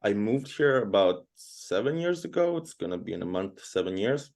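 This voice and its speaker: Man, low voice, from Croatia, chatty, rambling, casual interview guest. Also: big pitch swings, normal speed, a little mellow. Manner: chatty, rambling, frequent fillers.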